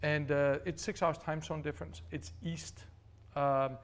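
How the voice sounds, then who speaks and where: A man's voice: fairly high pitch, flat delivery, unhurried. A man from Denmark, a startup founder being interviewed, explaining things step by step with many restarts and fillers. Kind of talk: interview answers.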